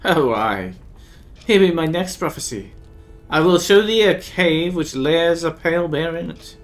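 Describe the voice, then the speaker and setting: A man's voice: a little high, measured pace, faint music behind, pitched above the performer's own voice. A man voicing a cryptic, fierce northern woman who speaks in old-fashioned thee and thou, reading a text game aloud on a live stream. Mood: ominous.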